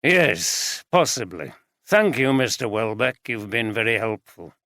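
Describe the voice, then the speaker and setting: A man voicing a calm, polite police detective who speaks in a measured way. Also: fairly low pitch, no echo, studio quality, full character voice.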